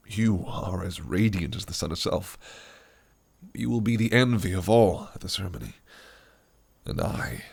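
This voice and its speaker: An actor playing a possessive, scheming fae prince who speaks in silky, archaic phrases that can turn menacing. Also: male voice, low-pitched, creaky, darkish tone, breathy edge, full character voice. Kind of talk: audio roleplay acting.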